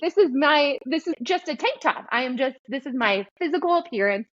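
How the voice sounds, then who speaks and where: A woman's voice: sits high, a little fast, dry recording, highs cut off. Woman with a girly voice and slight lisp. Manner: animated, laughs while talking. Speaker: podcast host and business coach. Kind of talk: casual interview chat.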